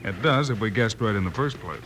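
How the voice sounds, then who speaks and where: A man playing a steady, commanding Old West marshal in a radio drama, with clipped delivery. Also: lowish voice, brisk, lightly acted. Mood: watchful. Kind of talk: 1950s western radio acting. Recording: noisy old-time radio recording.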